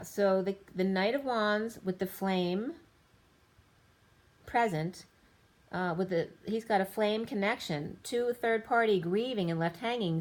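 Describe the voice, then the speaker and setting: A woman giving a rambling tarot reading, listing cards in loose fragments, her voice going a little hoarse. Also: mid-range voice. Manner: rambling, lists card after card in loose fragments. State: slightly losing her voice. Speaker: tarot reader.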